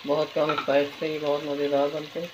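Woman with even pitch, noisy kitchen recording. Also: fairly quick. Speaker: home cooking vlogger. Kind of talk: casual recipe narration while cooking. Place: home kitchen, indoors. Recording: dull phone audio, loud frying sizzle. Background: frying, sizzling and stirring.

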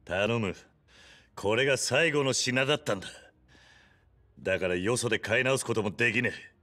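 An actor playing a gruff, blunt yakuza man in a video game cutscene, rough and commanding in his speech. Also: mid-range voice, normal speed, sharp tone, close to an everyday voice. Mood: urgent. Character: gruff, hot-tempered but honest tough yakuza man.

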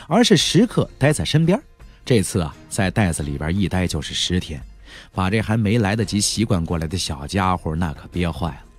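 Male audiobook narrator: husky, dramatic storyteller, hugely varied intonation, conversational asides, light music underneath. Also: deep voice, darkish tone. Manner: conversational storyteller with rhetorical questions and emphatic particles.

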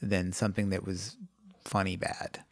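Rambling man with a low voice. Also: level tone, moderate pace, creaky, dry recording. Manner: rambling, lots of "like" and restarts. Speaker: podcast co-host. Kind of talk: casual chat.

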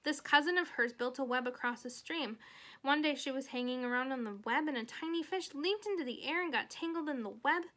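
A woman's voice: high-pitched, brisk, fairly bright tone, pitched above the performer's own voice. A woman voicing an imaginative young girl who tells stories in an earnest, dramatic and insistent way. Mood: excited.

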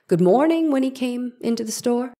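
A woman's voice: on the high side, lively intonation, moderate pace, warm tone, natural voice. A woman reading the part of a persistent, exacting defense lawyer in a dramatized 1890s trial, questioning formally and methodically.

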